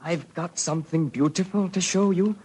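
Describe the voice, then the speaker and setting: Casting: wonderstruck, childlike simple country lad, very raspy breathy voice, old radio mystery. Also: male voice, high-pitched, normal speed, dark tone. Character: Timmy, simple-minded harmless village lad, childlike and wonderstruck. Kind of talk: 1940s radio acting.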